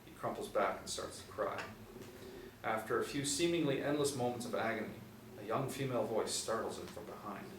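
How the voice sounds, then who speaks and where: Low-voiced male narrator, echoey room. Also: brisk. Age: 40s. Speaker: narrator reading stage directions. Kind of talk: scripted narration at a table reading. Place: small indoor room. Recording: echoey room, distant mic, background noise.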